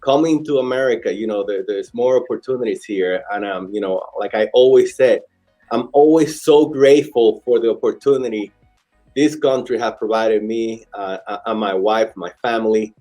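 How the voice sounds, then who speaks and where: A man around forty from the Dominican Republic with a Dominican Spanish accent, telling his story as an interview guest in a halting, earnest, repetitive way. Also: lowish voice, dark tone, soft background music. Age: late 30s to 40. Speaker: interview guest, restaurant owner. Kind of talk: conversational personal storytelling.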